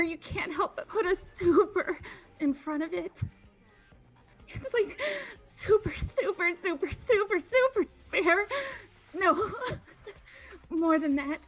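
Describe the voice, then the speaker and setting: A woman's voice: very high-pitched, fairly bright tone, full character voice. A woman voicing an unhinged, ecstatic teenage girl villain in a fan-acted video game, giddy and melodramatic. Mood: giddy ecstasy. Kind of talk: amateur voice acting of a video game.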